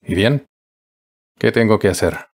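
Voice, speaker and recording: A man's voice: on the low side, measured pace. A man playing a weary, reluctant, self-deprecating teacher in an anime fandub, with a Latin American accent. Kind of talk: anime comic fandub acting.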